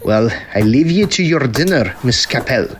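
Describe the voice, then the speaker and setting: A man's voice: mid-range voice, animated, moderate pace, a little hiss or hum, lightly acted. A man playing a falsely soothing, insistent asylum doctor in old-style radio melodrama, with a condescending theatrical manner. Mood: patronising, firm.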